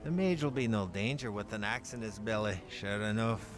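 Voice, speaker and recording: A man voicing a gruff, bloodthirsty male dwarf warrior in a put-on Scottish accent, telling tales in an archaic brogue for a game. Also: on the low side, music in the background, natural voice. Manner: slow, boastful storytelling. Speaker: player reading the dialogue.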